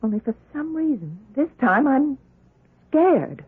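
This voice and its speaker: A woman's voice: high-pitched, sing-song, unhurried. An actress playing a breezy, forthright woman doctor in an old-time radio drama, with a wry, teasing manner. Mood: concerned. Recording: muffled narrow-band old radio recording.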